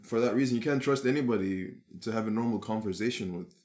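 A man with a raspy voice and a cough, talking things through in a casual group discussion and often restarting his sentences. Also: lowish voice, quick pace, a little mellow. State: sick with a cough. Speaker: discussion participant.

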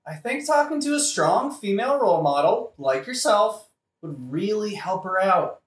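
An actor playing a deferential, flattering royal attendant who explains things earnestly in an audio play. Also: mid-range voice, moderate pace, lightly acted. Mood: tactful urgency. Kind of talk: satirical modern audio play acting. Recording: echoey room.

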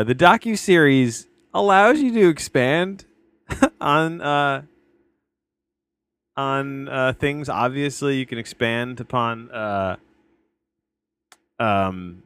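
A man's voice: medium pitch, slow pace, creaky, long pauses, fairly bright tone, clean tone, dry recording. A man in his late thirties hosting a podcast, reviewing a documentary in a loose, rambling way, restarting sentences and swearing often, recording at home. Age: 30s. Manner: rambling, profane, self-interrupting. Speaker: podcast host. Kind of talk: casual film review. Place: home, tree crew working outside. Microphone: headphones on, home recording.

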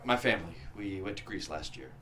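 A man's voice: deep voice, brisk, pushed and bright. A man playing a polished, guarded attorney in his thirties with formal diction, in a screenplay table read. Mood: polite, awkward.

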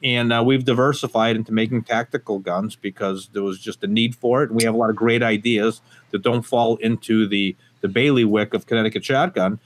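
A man's voice: on the low side, steady tone, fairly quick, few pauses. A man born in Portugal and raised in the United States, a guest on a casual podcast interview, who talks emphatically with many fillers and restarts. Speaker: gun company guest.

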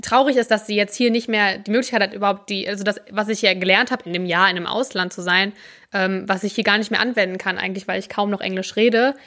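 A young woman in casual conversation, explaining at length and pausing often on fillers. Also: medium pitch, fairly even intonation, fairly bright tone. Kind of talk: casual chat about subtitles and series.

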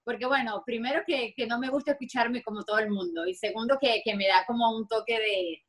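A woman's voice: a little high, fairly quick, slightly rough. A middle-aged woman from Maracaibo, Venezuela, with a marked Maracaibo accent, chatting as a guest in an interview with many fillers and restarts. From Venezuela (Maracaibo, Zulia). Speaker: actress guest. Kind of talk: interview conversation.

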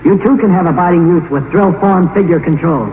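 An actor playing a slick, emphatic advertising announcer reciting slogans in a 1950s radio drama. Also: male voice, high-pitched, brisk, echoey room, long reverb tail, lightly acted. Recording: narrow-band, noisy vintage radio broadcast.

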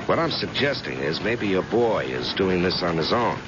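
An actor playing a tough, persistent private investigator in an old-time radio drama, blunt and pressing. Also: male voice, deep voice, very animated, moderate pace. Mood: pressing, menacing. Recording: muffled, noisy vintage radio recording.

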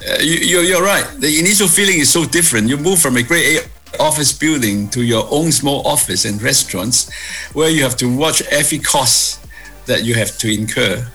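A man in his sixties from Hong Kong, answering interview questions in a measured way with many fillers and restarts. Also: medium pitch, pushed and bright, husky, soft background music. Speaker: guest, property executive.

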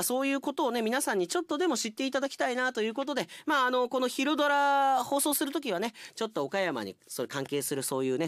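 A man's voice: mid-range voice, a bit bright, no room sound. A man hosting a radio show, chatting casually with conversational asides as he reads out listener mail. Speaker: radio announcer and show host.

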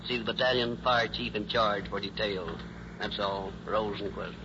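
Flat-voiced male police dispatcher, noisy radio. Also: on the low side, normal speed. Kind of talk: flat official police broadcast. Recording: dull, noisy old radio recording.

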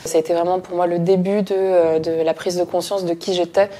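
Mellow, reflective woman in her thirties. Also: fairly low pitch, level tone, fairly quick. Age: 30s. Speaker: photographer. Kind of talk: documentary interview testimony.

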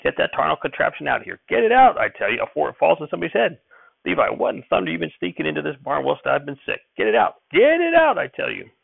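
A man voicing a cantankerous, blustering old grandfather in a story, shouting in rustic dialect. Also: on the high side, very animated, fast talker, vocal fry, no room sound, narrow, tinny audio, pitched above the performer's own voice, full character voice. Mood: outraged bluster.

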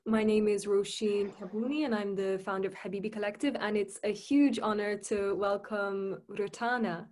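A woman hosting a podcast over a laptop video call, talking casually and hesitantly with many pauses. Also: medium pitch, steady tone, darkish tone. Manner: hesitant, many fillers and restarts. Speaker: podcast host.

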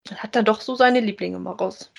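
A woman's voice: mid-range voice, brisk, creaky. A woman, chatting casually as a podcast co-host about audio dramas.

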